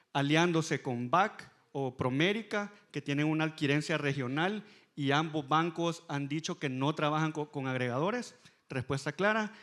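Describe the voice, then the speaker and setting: A man's voice: on the high side, fairly bright tone. A Salvadoran man speaking as a fintech panelist on a conference stage, answering frankly and with some self-deprecation.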